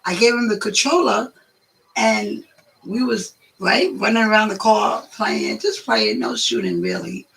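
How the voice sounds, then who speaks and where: A hoarse woman telling stories in a chatty, rambling way as a guest on her son's video. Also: medium pitch, sing-song, measured pace, fairly bright tone, low-quality recording. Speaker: guest, host's mother. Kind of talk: casual storytelling chat.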